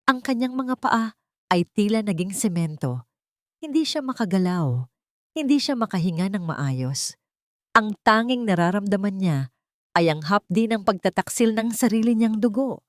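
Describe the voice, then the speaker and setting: A woman with a lowish voice tells a dramatic story in slow, measured, somber narration. The sound is close and dry, with no room around it. She reads from a script in a storytelling way. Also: darkish tone, no echo.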